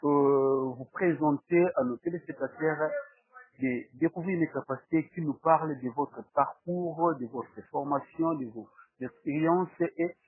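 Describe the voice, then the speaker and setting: Husky host on a tinny line. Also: male voice, on the high side, unhurried, no room sound. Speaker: talk show host and interviewer. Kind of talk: formal interview presentation. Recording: tinny, phone-like narrow-band sound.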